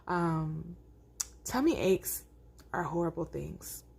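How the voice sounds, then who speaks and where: A woman's voice: sits low, expressive, slow pace, vocal fry, darkish tone, slightly rough. A woman reading aloud as a children's storytime host, with chatty asides spoken straight to young listeners. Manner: addresses young listeners directly, conversational.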